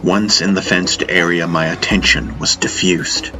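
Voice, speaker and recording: A man narrating a first-person fantasy audiobook in deliberate, dramatic short phrases. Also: deep voice, fairly even intonation. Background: music.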